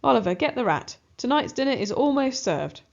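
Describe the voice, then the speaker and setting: A woman's voice: high voice, sing-song, brisk, creaky, lo-fi sound, pitched above the performer's own voice, exaggerated voice. A woman voicing massive, wicked ginger cats, menacing and gleefully cruel storybook villains. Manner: taunting, threatening.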